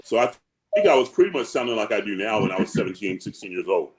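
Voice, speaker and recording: A man who sings low parts, chatting in an animated, joking way over a video call. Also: quick pace. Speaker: opera singer, bass-baritone. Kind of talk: casual panel chat.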